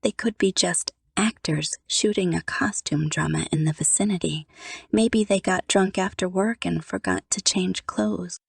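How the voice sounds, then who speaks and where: A man voicing a female bus conductor in a horror story, speaking in a hushed, reassuring manner. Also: high-pitched, unhurried, creaky, husky, dry recording, clean studio sound, pitched above the performer's own voice, heavily acted. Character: female bus conductor calming frightened passengers. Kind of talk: horror story character dialogue.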